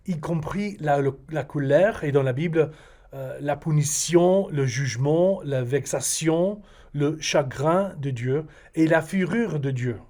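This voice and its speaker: Slow Texan pastor preaching in French. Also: male voice, a little high, a little mellow. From Texas. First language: English. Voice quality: halting non-native French with frequent hesitations. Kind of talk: recorded video sermon read from a script.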